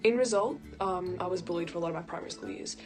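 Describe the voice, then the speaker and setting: Quick, low-voiced young woman. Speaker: university student. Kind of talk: read-aloud personal account. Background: background music.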